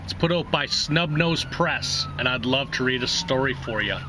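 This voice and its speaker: A man's voice: mid-range voice, normal speed, background noise. A man hosting a reading series, narrating a literary short story aloud.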